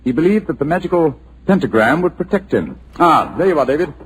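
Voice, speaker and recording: Man playing a cool, exact detective, measured and authoritative, lively pitch. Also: slight background noise, lightly acted, low-quality recording. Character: calm, clever male detective.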